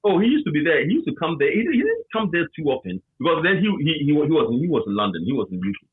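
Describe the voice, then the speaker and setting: A Ghanaian man reminiscing conversationally as an interviewee, with a husky voice and a habit of repeating phrases for emphasis, over a tinny line. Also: a little high, very animated, fast, dry recording. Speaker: interviewee, former campus radio manager.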